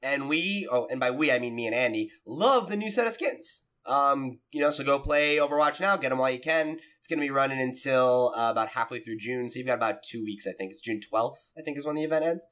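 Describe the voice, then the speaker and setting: Guy hosting a gaming podcast, medium pitch, chatty and conversational. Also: lively intonation, brisk, hardly any pauses, a bit bright. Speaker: podcast host. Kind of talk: casual gaming podcast chat.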